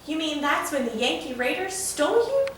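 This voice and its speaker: A curious, admiring male storybook character, read by a woman, eagerly asks questions. The voice is fairly high and animated, heard from a distance in a strongly echoing room. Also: moderate pace. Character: Big John, curious admiring male listener. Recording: echoey room, distant mic, faint noise.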